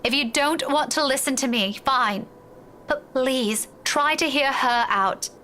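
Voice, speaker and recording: A woman's voice: high-pitched, unhurried, bright, forceful tone, a little hiss or hum. An actress playing an earnest, worried young woman who pleads with a friend in a visual novel game. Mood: worried, urgent. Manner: earnest, imploring.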